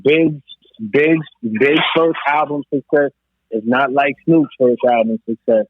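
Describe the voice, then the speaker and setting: A man's voice: medium pitch, flat delivery, a little slow, no echo. A man on a podcast panel in a casual, emphatic debate, swearing freely. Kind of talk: casual hip-hop debate. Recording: thin phone-like line.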